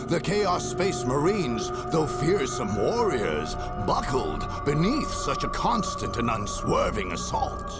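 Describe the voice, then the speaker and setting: A man narrating a video game as a grave war chronicler, telling the story in a solemn, theatrical way. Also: medium pitch. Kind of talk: dramatic scripted game narration.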